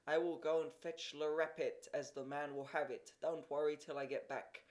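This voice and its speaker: A man voicing a tall, thin, stingy peasant who hesitates and pleads, in a voice raised well above his usual pitch. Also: fairly even intonation, moderate pace, close to an everyday voice. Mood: hesitant.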